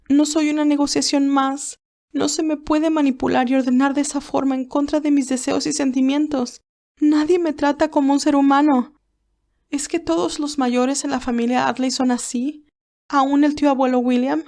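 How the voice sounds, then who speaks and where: A woman's voice: high voice, a little mellow, voice pushed up. A woman voicing a passionate, wounded young woman who pleads and protests defiantly in an audiobook story. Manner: pleading, emphatic questions.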